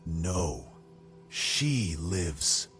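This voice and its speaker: Man playing a slow, earnest, dutiful castle guard. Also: deep voice, animated, fairly bright tone, soft background music, full character voice. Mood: cautious. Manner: courteous, measured, formal. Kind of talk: fantasy audio drama acting.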